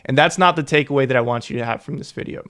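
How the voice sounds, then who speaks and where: Young male finance educator, mid-pitch, earnest, stresses key numbers. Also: rapid-fire, fairly bright tone. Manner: earnest, repeats key figures for emphasis. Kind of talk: explainer to camera.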